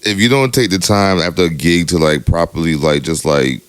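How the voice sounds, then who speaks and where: A young adult man with a very deep voice, co-hosting a podcast in casual chat, rambling with lots of fillers. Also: moderate pace, clear, resonant tone, no echo. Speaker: podcast co-host, DJ.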